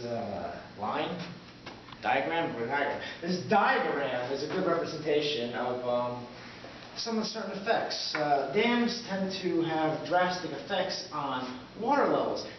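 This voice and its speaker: A man giving an amateur, loosely scripted presentation as presenter, in a halting, fumbling and vague manner. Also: fairly high pitch. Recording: echoey, muffled, noisy, distant camera mic.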